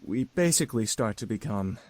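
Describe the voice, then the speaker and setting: A man acting an earnest, reserved young male demon in a visual novel, speaking in measured, formal phrases. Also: fairly low pitch, lively intonation, no echo, lightly acted. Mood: earnest, explanatory.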